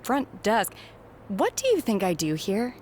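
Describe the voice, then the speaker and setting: A woman's voice: medium pitch, wildly swooping pitch, unhurried, a little mellow, a little hiss or hum. A woman voicing a dry, no-nonsense, exasperated head recruiter in a video game cutscene. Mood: stern, sarcastic. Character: brusque, exasperated female head recruiter at wrestling center.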